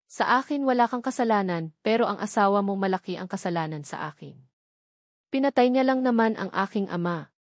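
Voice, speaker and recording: A man's voice: high-pitched, expressive, brisk, no room sound. A cruel, leering crime boss out for revenge in an audio novel, voiced by a woman narrator. He taunts and sneers at his captive, in a dry, close recording. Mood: taunting, menacing.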